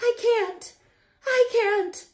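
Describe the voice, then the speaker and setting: A woman's voice: very high-pitched, extremely slow, a little mellow, echoey room, voice pushed up, full character voice. A woman voicing a storybook caterpillar, male, impatient and melodramatic, exclaiming and whining. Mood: despairing. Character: frantic, impatient, dramatic young male caterpillar, whiny and overeager.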